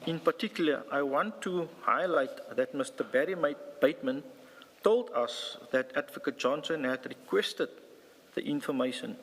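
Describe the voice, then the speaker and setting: Male South African police witness, formal and somewhat halting testimony, reverberant hearing-room sound. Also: fairly high pitch, fairly bright tone, slightly rough. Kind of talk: formal testimony, partly reading a statement aloud. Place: large indoor hearing room.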